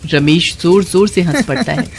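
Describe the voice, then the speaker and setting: A woman's voice: lowish voice, lively intonation, normal speed, slightly rough. A woman delivering scripted narration for a moral tale as the storyteller.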